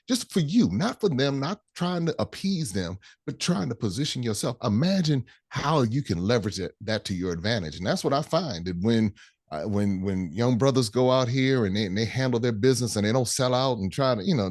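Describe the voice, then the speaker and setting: A man's voice: mid-range voice, a little mellow, no room sound, clean studio sound. An American man in his forties, a travel guest speaking earnestly and emphatically in an interview. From United States. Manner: earnest, emphatic, repeats phrases for stress. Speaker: guest, travel tour organizer. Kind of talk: conversational interview.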